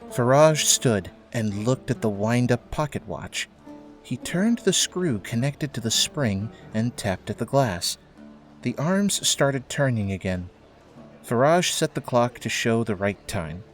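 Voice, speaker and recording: A man's voice: medium pitch, animated, takes it slowly. A man narrating a scripted audio drama as its storyteller. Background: background music.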